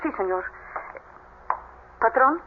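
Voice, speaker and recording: An actress playing a deferential, uneasy housemaid in a 1970s radio drama, speaking haltingly. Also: female voice, on the high side, expressive, narrow, tinny audio. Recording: thin, noisy vintage radio recording.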